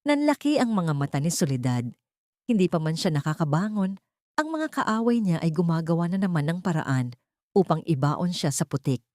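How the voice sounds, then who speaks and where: A woman with a somewhat low voice narrates a dramatic audio story. Her delivery is scripted storytelling, and the sound is dry, with little room around it. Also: big pitch swings, normal speed, mellow, dry recording.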